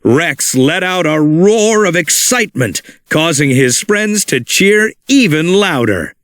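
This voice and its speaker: A man reading a children's storybook aloud in an animated, expressive way. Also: sits high, no echo. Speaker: storybook narrator. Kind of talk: read-along storybook narration.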